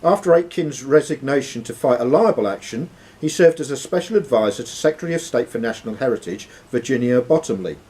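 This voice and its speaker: Husky man reading quiz questions. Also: medium pitch, lively intonation, quick pace, darkish tone. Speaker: quiz host and question reader. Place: sports and social club room.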